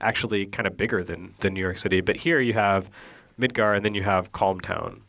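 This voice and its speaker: A man's voice: on the low side, fairly even intonation, a little fast, fairly bright tone. A man working as a video game critic, giving casual, opinionated commentary in a bantering, conversational way. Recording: game music playing behind the voice. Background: video game music.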